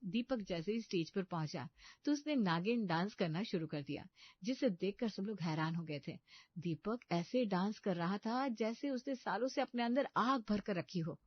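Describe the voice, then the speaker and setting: A woman's voice: medium pitch, lively intonation, moderate pace, slightly rough, no room sound, muffled recording. A woman narrating a scripted comedy story.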